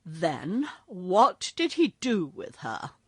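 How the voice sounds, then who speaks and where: A woman voicing a kindly bear king in a read-aloud storybook, calm and gentle. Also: on the low side, takes it slowly, breathy edge, no echo, clean studio sound, heavily acted.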